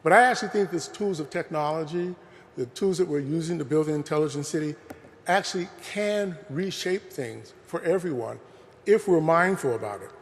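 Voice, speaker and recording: Guy giving a talk in a big echoey museum hall, kinda higher voice, earnest, lots of "you know". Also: normal speed. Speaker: lecturer presenting a talk. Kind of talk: conversational public talk. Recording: long hall reverb.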